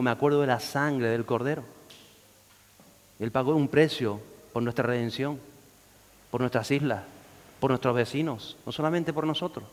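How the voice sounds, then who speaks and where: Guy preaching a sermon, mid voice, gets loud on the bible quotes, big echoey hall. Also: medium pitch. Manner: preaching with rising emphasis on scripture quotes. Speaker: pastor. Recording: long reverb of a hall.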